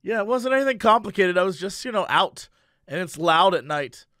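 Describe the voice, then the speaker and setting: Hoarse, bright-voiced goofy podcast host. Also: male voice, high voice, animated, brisk, no echo, studio quality. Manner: goofy, sings and shouts bits, jokey asides. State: hoarse, lost voice. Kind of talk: casual podcast chat.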